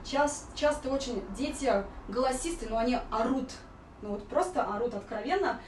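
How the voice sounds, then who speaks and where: A woman's voice: on the high side, normal speed, background noise. A woman giving an informal singing lesson as a vocal coach, explaining and demonstrating vowel sounds. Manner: explanatory, demonstrating vowels and sung warm-ups. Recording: roomy echo, distant mic, music behind.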